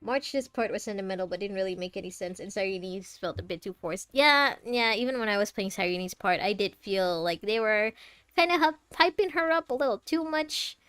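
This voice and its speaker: A woman gives casual livestream commentary over a game, chatty and quick to react. Her voice is medium-pitched and recorded close and dry, with very little room sound. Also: expressive, a bit bright, clean tone, no echo. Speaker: game streamer.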